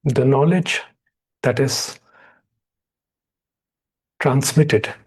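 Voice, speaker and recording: A man speaking as a meditation teacher in a guided meditation, in short measured phrases with long pauses. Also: medium pitch, breathy edge. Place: online video call session.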